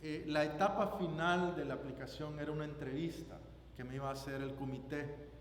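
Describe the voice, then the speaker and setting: A man's voice: a little high, fairly even intonation, fairly quick. Guatemalan man preaching in an echoing hall. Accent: Guatemalan Spanish. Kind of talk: sermon with scripture reading and personal anecdote. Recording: roomy echoing hall, background noise.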